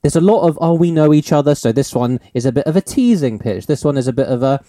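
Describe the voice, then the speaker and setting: A man's voice: fairly high pitch, lively intonation, quick pace, warm tone, no echo, studio quality. A man, hosting a podcast in a rambling, teasing, laugh-filled chat. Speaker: podcast host.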